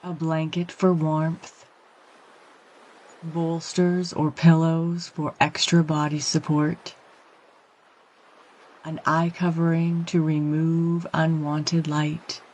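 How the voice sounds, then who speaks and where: Very slow-paced woman guiding meditation. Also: low-pitched, rough recording. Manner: calm, gentle, measured phrases with long pauses. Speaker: yoga nidra meditation guide. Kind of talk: guided meditation, slow and soothing instruction.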